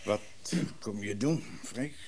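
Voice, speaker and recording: An actor playing a brusque, defensive middle-aged shopkeeper, curt and dry, in a naturalistic radio play. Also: male voice, lowish voice, slow pace, darkish tone, a little hiss or hum. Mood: curt, irritated.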